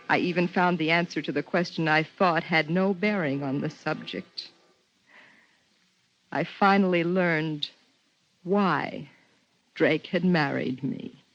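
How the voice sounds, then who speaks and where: An actress playing Rose, a troubled, sharp woman biologist, in 1950s radio drama style. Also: fairly low pitch. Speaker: actress and first-person narrator. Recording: muffled 1950s radio recording with music.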